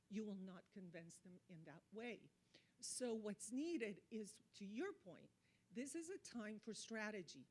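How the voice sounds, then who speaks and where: Female conference participant, mid-range voice, earnest and emphatic, phrasing in short deliberate bursts. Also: medium pitch, darkish tone. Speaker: audience speaker, marketing expert. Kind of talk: earnest impromptu remarks at a conference.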